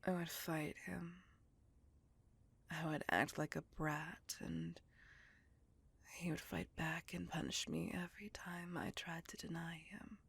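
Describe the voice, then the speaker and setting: A woman plays a sorrowful, bitter vampire woman in a whispered ASMR roleplay. Her voice is very breathy and slow, with a lot of creak at the edges. She confides her past intimately, as if to one listener. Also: low voice, level tone, fairly bright tone, exaggerated voice. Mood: reflective, subdued. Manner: slow, intimate, confessional storytelling. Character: beautiful, melancholy, bitter female vampire recounting her past. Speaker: voice actor in audio roleplay.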